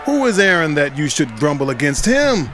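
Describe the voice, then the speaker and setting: A man's voice: fairly high pitch, very animated, normal speed, over music, pitched above the performer's own voice. A man voicing an old prophet who speaks earnestly and warns the people. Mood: pleading. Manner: earnest, warning. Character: solemn old male prophet and leader.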